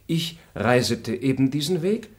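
An actor voicing a composed, fair-minded gentleman traveler in a radio play, speaking in a measured, formal and articulate way. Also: medium pitch, big pitch swings. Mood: calm. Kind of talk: German radio play acting.

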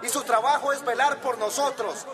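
An actor playing an angry, demanding townsperson at a protest in a radio soap opera. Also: male voice, extremely high pitch, steady tone, normal speed, a crowd in the background. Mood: indignant. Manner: insistent, demanding.

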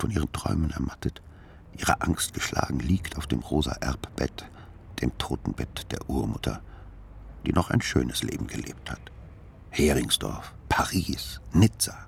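An actor playing a brooding, weary intellectual in a radio play's inner monologue, halting in his delivery. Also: extremely low pitch, creaky, a little hiss or hum. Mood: bitter, weary. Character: Philipp, brooding, weary, dizzy male intellectual observer.

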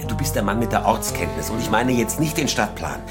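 An actor playing a coaxing, hesitant police colleague in a radio drama, talking in a halting, persuasive, colloquial way. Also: male voice, fairly low pitch, big pitch swings, over music. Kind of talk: naturalistic German radio drama acting.

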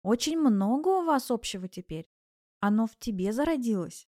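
Woman acting a old village granny, raised pitch, tender, folksy, knowing, gently chiding, audiobook character, dry sound. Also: animated, moderate pace, dry recording. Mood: warm, teasing. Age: older.

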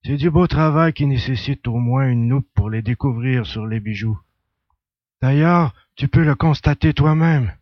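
A man's voice: mid-range voice, measured pace, no echo, lo-fi sound, close to an everyday voice. A man playing a confident, ironic hunter who explains things with assurance in an audio drama. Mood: assured, explaining. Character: confident male hunter with alien blood brother, ironic.

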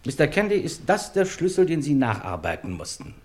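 Zügig sprechender, förmlicher Chefinspektor. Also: male voice, a little high, huge pitch swings, fairly quick, a little mellow. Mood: official, probing. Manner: formal, businesslike. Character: brisk, formal, courteous police chief inspector. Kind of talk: German radio crime play acting.

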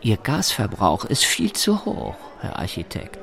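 An actor playing an insistent, menacing snoop with a grudge, in a naturalistic radio drama, formally polite and full of pointed questions. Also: male voice, on the low side, wildly swooping pitch, measured pace, vocal fry, fairly bright tone, heavily acted. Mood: needling, falsely polite. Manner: formal address, pointed rhetorical questions, needling. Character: insistent, menacing snooping man with a grudge against big shots.

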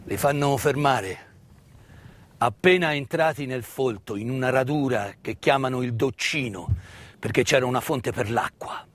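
A man giving a dramatic theatrical narration of a wartime story, measured and emphatic, with pauses and hushed phrases. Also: mid-range voice, slight background noise. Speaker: stage actor and storyteller. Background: live musical accompaniment.

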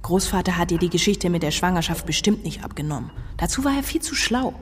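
A woman's voice: on the low side, animated, fast talker, slightly rough, big-room sound. An actress playing a candid, cool-headed young woman from a wealthy family in a German radio crime drama, frank and decisive. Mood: frank, scornful.

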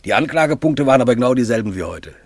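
An actor playing a cynical cleric with a husky voice, speaking in a sneering way. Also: male voice, medium pitch, animated, racing, dry recording. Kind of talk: radio play acting.

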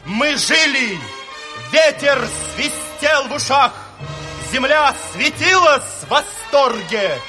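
An actor playing a fervent revolutionary orator, declaiming poetry in an exalted, deliberate way for a Soviet radio drama. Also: male voice, extremely high pitch, very animated, exaggerated voice. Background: music.

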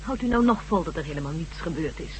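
A woman's voice: low-pitched, animated, fast, slight background noise, poor audio. An actress playing an earnest woman scientist in a radio drama, speaking in an urgent, persuasive and polite way. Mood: composed, insistent. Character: earnest, insistent woman scientist who treats friends.

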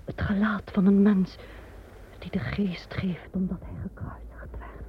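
A woman's voice: mid-range voice, measured pace, creaky. A woman playing a proud queen gripped by fearful visions, speaking in an old radio drama. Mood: frightened whisper. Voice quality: hushed, whispering, frightened. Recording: tinny old radio recording, faint hiss.

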